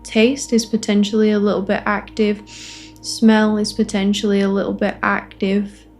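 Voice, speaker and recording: A woman facilitating a guided meditation, offering gentle invitations in short phrases with long pauses between them. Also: medium pitch, level tone, faint music behind. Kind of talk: guided meditation, spoken softly to listeners.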